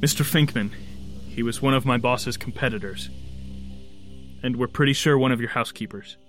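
A man's voice: medium pitch, normal speed, a bit bright, soft background music, close to an everyday voice. An actor playing a bitter, sarcastic, foul-mouthed henchman of a ruthless boss in an audio drama. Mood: grim, explaining. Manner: sardonic, profane.

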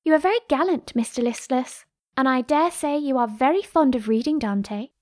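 A woman's voice: sits high, moderate pace, dry recording, clean studio sound, natural voice. A woman playing a coquettish young lady in a classic novel reading, playful, arch and sweetly mocking.

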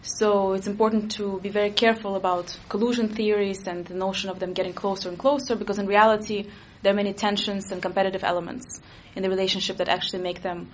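A woman's voice: medium pitch, level tone, slight background noise. A young woman, a native Russian speaker who grew up in Latvia, answering interview questions as an expert, thinking aloud with fillers and restarts. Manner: quick, analytical, with frequent fillers and restarts.

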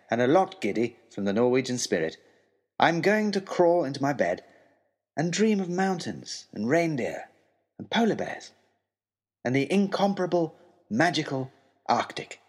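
Man playing an animated, earnest gentleman adventurer. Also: mid-range voice, moderate pace. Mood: giddy, fond. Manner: expressive, earnest, wryly self-deprecating, genteel. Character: eager, long-suffering young gentleman adventurer, lovestruck letter writer. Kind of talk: dramatised letter reading, comic period audio drama.

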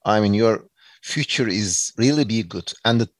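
A man's voice: lowish voice, measured pace, no room sound. A man from Turkey with a Turkish accent, giving earnest, reflective answers as an interview guest, with many fillers and restarts.

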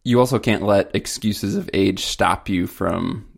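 A man with a fairly low voice hosts a podcast in casual conversation. His speech has a clear gravelly creak running through it. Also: a little slow. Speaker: podcast host.

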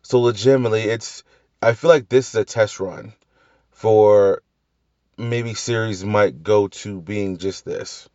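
Low-voiced man rambling through a review. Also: steady tone, unhurried, darkish tone, no room sound, lo-fi sound. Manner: rambling with tangents, frequent restarts and mild swearing. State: slight cough left after flu. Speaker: podcast host reviewing TV shows. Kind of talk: casual solo review chat.

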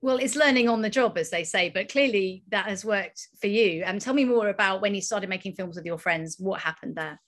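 A woman's voice: mid-range voice, a little fast, hardly any pauses, a bit bright. Upbeat woman hosting a masterclass. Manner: friendly, upbeat, scripted introduction. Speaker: film critic, broadcaster and event host. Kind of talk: hosting an online masterclass interview.

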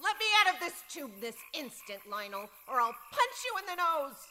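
A woman's voice: high voice, big pitch swings, moderate pace, pushed and bright, slight background noise, exaggerated voice. A woman playing a bossy, furious little girl who makes threats in a comedic radio play.

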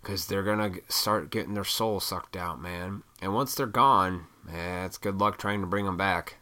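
Froggy-throated man ranting, low forceful voice. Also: normal speed. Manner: profane, opinionated, rambling. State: froggy throat, clearing it often. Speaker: vlogger. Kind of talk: rambling casual rant to camera.

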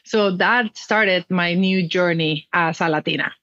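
A woman's voice: on the low side, a little slow, pushed and bright, no echo, highs cut off. A middle-aged woman from Mexico, telling her story earnestly and expressively as an interview guest. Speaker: guest, nonprofit founder. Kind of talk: conversational interview.

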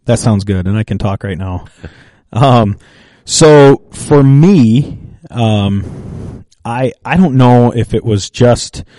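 A man with a mellow, warm voice who speaks slowly and carefully, pausing often and restarting his sentences. The sound is dry and close, with no room behind it. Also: medium pitch, animated, no room sound. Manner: thoughtful, halting, with restarts and fillers. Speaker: podcast co-host. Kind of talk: casual chat.

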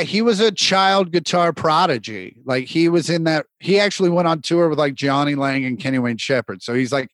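A man who is a comedian guest on a podcast, telling stories in a relaxed, easygoing way. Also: medium pitch, no echo. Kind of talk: casual chat.

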